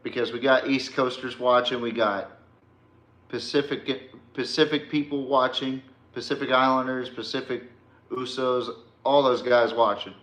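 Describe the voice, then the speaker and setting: A man's voice: medium pitch, fairly even intonation, unhurried, fairly bright tone. A man from Texas hosting a sports podcast, talking casually to viewers in an informal, slangy, folksy way. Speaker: podcast host. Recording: roomy, echoey sound.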